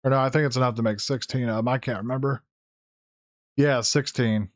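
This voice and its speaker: A man with a hoarse, strained voice talks through his gameplay in a loose, rambling way, correcting himself as he goes. His pitch sits in an ordinary middle range. The sound is dry and close, with no room around it. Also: fairly even intonation, brisk, dry recording. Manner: rambling, thinking aloud with fillers and self-corrections. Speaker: gaming YouTuber. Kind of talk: casual Let's Play commentary.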